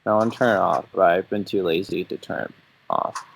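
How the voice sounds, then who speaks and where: A man's voice: low voice, brisk, gravelly, slight background noise, rough recording. A twenty-year-old man chatting casually as a podcast host, with a joking, teasing way of asking questions. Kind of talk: casual chat.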